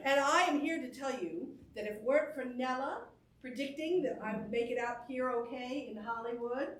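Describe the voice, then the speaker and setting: A woman's voice: high voice, normal speed, lightly acted. A woman playing an exuberant, effusive female movie star giving a folksy thank-you speech in a theatrical staged reading. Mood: gushing, playful. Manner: effusive, folksy, chuckling between phrases. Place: indoor stage before a live audience. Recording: roomy stage sound with faint noise.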